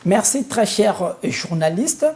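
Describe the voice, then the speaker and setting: A man from Congo-Brazzaville, a spiritual leader answering a studio interview in a solemn, preaching style, pausing often on hesitant fillers. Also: high-pitched, expressive, darkish tone.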